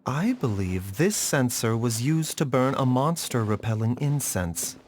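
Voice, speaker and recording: A composed gentleman physician in a video game. The mid-range voice is richly inflected, with formal, analytical phrasing, over music. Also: mid-range voice, big pitch swings, a little hiss or hum. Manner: formal, deductive, measured phrasing.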